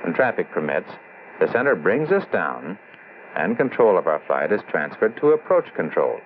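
Veteran air traffic controller for vintage educational film; deep, expressive, explanatory, on a narrow hissy soundtrack. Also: male voice, moderate pace. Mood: patient, explanatory. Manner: explanatory, matter-of-fact. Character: seasoned male air traffic controller explaining his job. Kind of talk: scripted 1960s educational film dialogue. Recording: muffled old film soundtrack, faint hiss.

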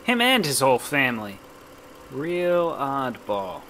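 An actor playing a dismissive, goading suburban neighbor in a radio play. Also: male voice, mid-range voice, very animated, takes it slowly, bright, forceful tone, slight background noise, full character voice.

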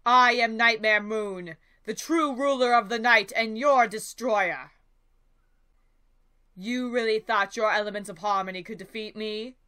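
A woman voicing an evil, imperious cartoon dark alicorn villain in a grand, theatrical way. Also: a little high, fairly even intonation, a little slow. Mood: menacing, triumphant.

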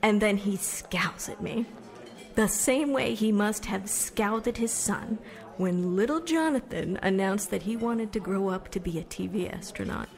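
A woman's voice: mid-range voice, lively intonation, a little slow, vocal fry, faint music behind. Audio drama role: young American woman, eager for fame, casual and self-deprecating, mid-range voice, conversational monologue. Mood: chatty, confiding. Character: chatty young Somerville woman set on becoming famous. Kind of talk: conversational stage storytelling monologue.

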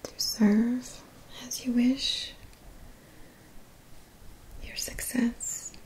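Asmr healer lady whispering real slow n soft, soothing guided affirmations, breathy, right up on the mic. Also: a little high, fairly even intonation, low-quality recording. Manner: gentle, slow, deliberate phrasing with pauses. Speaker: ASMR energy healing practitioner. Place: small dim indoor room. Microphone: close mic, seated near the camera.

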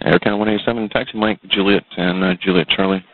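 A man's voice: fairly low pitch, fairly even intonation, racing. A man working as an air traffic controller, speaking over a radio link. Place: airport control tower. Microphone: radio transmitter. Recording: muffled, narrow-band air traffic radio.

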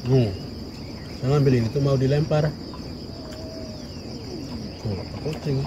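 A man talks in a relaxed, chatty way while eating, addressing his viewers directly. His voice sits in a middle pitch. Loud insect noise fills the open evening air around him. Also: slow, lo-fi sound. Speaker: vlog host. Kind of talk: casual mukbang chat. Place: outdoor camp at dusk, insects chirping.

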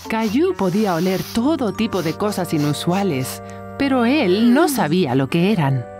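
Woman narrator, children's cartoon storytelling, scripted, medium pitch, lively, faint music behind. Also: normal speed.

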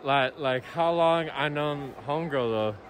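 A man's voice: fairly high pitch, unhurried. Hesitant young man in a mall. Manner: hesitant, laughing. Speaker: passer-by interviewee. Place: indoor shopping mall.

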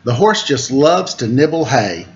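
Lively storytime man, unhurried and swooping. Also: medium pitch. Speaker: storyteller reading aloud to children. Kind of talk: picture book read-aloud with casual asides. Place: indoor room at home. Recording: dull lo-fi webcam audio with noise.

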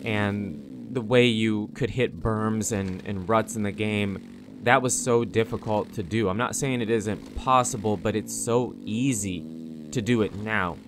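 A man's voice: fairly low pitch, unhurried, creaky, noisy background. A man hosting a gaming video, giving casual commentary on the gameplay.

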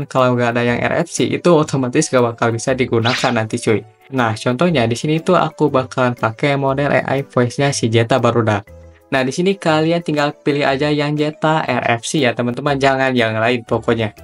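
Young male tutorial host, chatty and informal, mid-range voice over background music. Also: medium pitch. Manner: chatty, slangy, step-by-step instructing.

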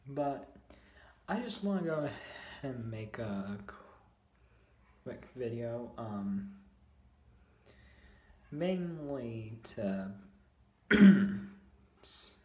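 A young man with a sore, hoarse throat chats casually to a phone camera in a hotel room, hesitant and full of fillers. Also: medium pitch, very animated, a little mellow. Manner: hesitant, many fillers and restarts, slow. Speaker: video host. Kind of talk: casual vlog chat. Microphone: phone camera at arm's length. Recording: echoey small room.

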